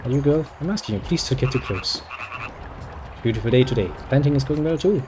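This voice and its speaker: A man's voice: medium pitch, lively intonation, fast talker, music in the background. A man reading game dialogue aloud and adding dry, sarcastic jokes as he plays. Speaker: gameplay video host.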